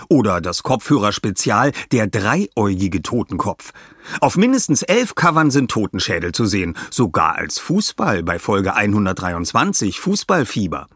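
Podcast host, man: animated scripted delivery, slightly rough husky tone, dry studio-like sound. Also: lowish voice, dry recording. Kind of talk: scripted narration, upbeat presenting.

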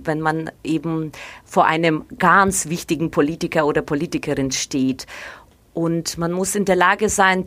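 Ukrainian-accented woman, low and dry. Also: no room sound. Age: middle-aged. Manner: thoughtful, measured, frequent hesitations. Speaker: guest, newspaper editor. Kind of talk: reflective interview talk.

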